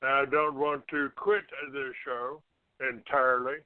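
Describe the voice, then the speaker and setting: Slow, combative man on a tinny line. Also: mid-range voice, level tone, smooth, dry recording. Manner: combative, accusatory, halting. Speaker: internet call-in show host. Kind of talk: unscripted talk show rant. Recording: narrow, tinny phone-like line.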